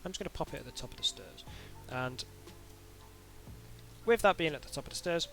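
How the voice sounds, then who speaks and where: A man narrating an informal software tutorial in a hesitant, halting way, as if thinking aloud. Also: a little high, expressive, gravelly, soft background music. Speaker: tutorial instructor.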